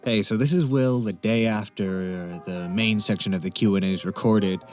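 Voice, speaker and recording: A man's voice: fairly low pitch, fairly even intonation, normal speed, soft background music. A man chatting casually as a Q&A guest, talking in a hesitant, wry and self-deprecating way. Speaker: interview guest, amateur voice actor. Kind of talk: casual Q&A chat.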